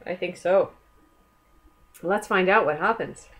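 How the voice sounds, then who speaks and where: Slow-paced woman reading a children's story. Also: lowish voice. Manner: gentle, inviting, addresses children with questions. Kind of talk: storytime read-aloud with questions to young listeners.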